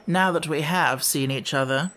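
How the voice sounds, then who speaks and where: A man's voice: a little high, normal speed, pushed and bright. A man voicing a haughty, self-assured storybook unicorn who talks in a languid, commanding way. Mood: breezy, eager. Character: haughty, carelessly confident unicorn.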